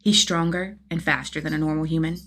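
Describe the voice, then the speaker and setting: A woman reading a story aloud as an expressive scripted narration, with a slightly husky voice. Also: deep voice, a little fast. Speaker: audiobook-style narrator of fan fiction.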